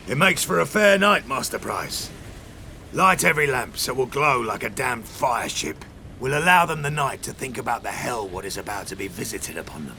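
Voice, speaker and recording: Pirate captain, wry and ruthless, sharp voice that swoops around, old sailor talk, audio drama. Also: male voice, mid-range voice, creaky, slight background noise, exaggerated voice. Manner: archaic seafaring speech, commanding. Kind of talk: full-cast audio drama acting.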